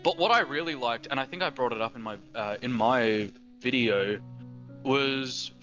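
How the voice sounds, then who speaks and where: An Australian man of eighteen chats easily on a video call, wandering through his thoughts with many fillers. His voice is bright and pushed forward. The audio is somewhat dull, and quiet music plays under it. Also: mid-range voice, normal speed. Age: teens. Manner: rambling, many fillers and restarts. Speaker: guest. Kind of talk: casual chat.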